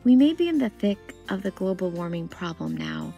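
A woman storyteller reading a children's picture book aloud expressively, with deliberate phrasing and dramatic pauses. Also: mid-range voice, huge pitch swings, mellow, soft background music. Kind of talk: expressive read-aloud narration.

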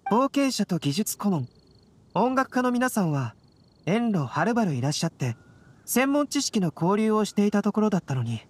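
Gentle young scholar boy, courteous and measured, lilting mid-range delivery for game dialogue. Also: mid-range voice, big pitch swings, slightly rough. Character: calm, courteous young male alchemist teacher. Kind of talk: anime video game voice acting.

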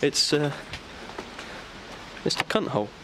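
A man's voice: fairly high pitch, big pitch swings, unhurried. Chatty young man exploring. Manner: chatty, swearing, self-narrating as he explores. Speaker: vlogger. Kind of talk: casual exploring vlog. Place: abandoned buildings, in and out of doors. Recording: handheld camera with background noise.

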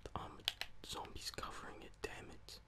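A young man speaks entirely in a breathy whisper, close up on the microphone. He gives running commentary on a video game in an ASMR style. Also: normal speed. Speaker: gaming video creator.

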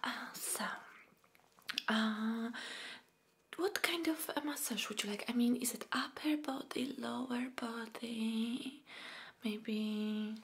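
Very slow, whispering young woman. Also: fairly high pitch. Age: young adult. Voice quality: breathy whisper. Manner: soft, soothing, gentle. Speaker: ASMR creator playing a massage therapist. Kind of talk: whispered ASMR roleplay. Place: small dim room indoors. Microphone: close microphone off camera.

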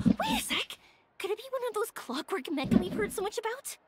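A bubbly excitable young woman. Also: very high-pitched, moderate pace, very noisy, heavily acted. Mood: amazed. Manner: excitable, wide-eyed exclamations.

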